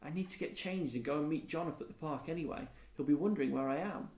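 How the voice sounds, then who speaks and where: A man's voice: a little high, fast, darkish tone, close to an everyday voice. A man voicing a hesitant, reluctant boy disguised as a girl in a storybook. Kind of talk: storybook character dialogue. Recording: echoey room.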